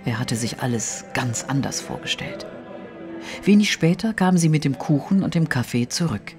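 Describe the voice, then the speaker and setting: A man narrating a literary audio drama in a measured storytelling style. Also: mid-range voice, animated. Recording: music under the voice. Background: music.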